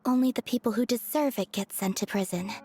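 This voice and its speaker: A woman's voice: on the high side, fairly quick, a little mellow, faint music behind, natural voice. An actress playing a serene, innocent and bluntly candid young woman in a video game. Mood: curious, candid.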